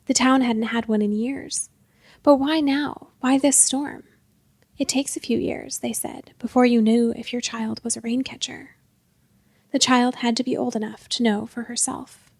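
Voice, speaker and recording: A woman reads a literary short story in a measured way, with phrases that soften to a hush. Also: a little high, steady tone, gravelly, no echo. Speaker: story narrator and author.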